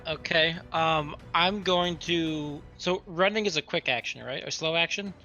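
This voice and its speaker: Higher, bright-voiced man playing a tabletop game. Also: measured pace, muffled recording. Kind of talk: casual game-table talk. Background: music.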